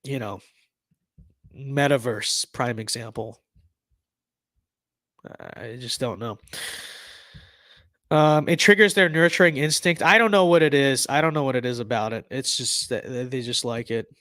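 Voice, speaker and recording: A man's voice: mid-range voice, a little slow, pauses often, bright, forceful tone, no echo. A young man hosting a casual livestream, losing his voice, rambling with lots of fillers and restarts. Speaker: livestream host.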